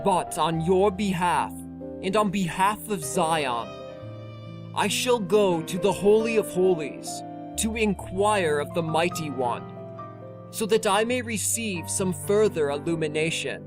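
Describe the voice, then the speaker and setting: Slow, solemn scripture narrator over music. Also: mid-range voice, expressive. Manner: solemn, measured, formal. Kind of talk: dramatized scripture audiobook narration.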